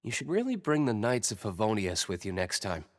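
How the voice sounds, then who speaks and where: Earnest young male traveler: lowish, expressive pitch, anime fantasy game. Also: brisk. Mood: calm, advising.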